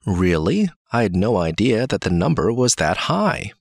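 A man reading lines of a scripted dialogue slowly and carefully, as in a listening test. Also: on the low side, very animated, gravelly, no echo, studio quality.

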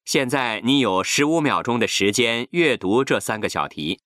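Guy reading exam instructions, bright tense tone, flat formal delivery, dry mic. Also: medium pitch, normal speed, dry recording. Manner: even, formal announcing. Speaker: exam announcer.

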